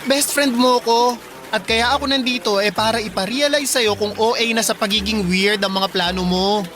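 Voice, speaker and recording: A woman's voice: on the high side, normal speed, music in the background, lightly acted. A woman playing a sarcastic teenage Filipina best friend in a radio drama, joking and dry. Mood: teasing.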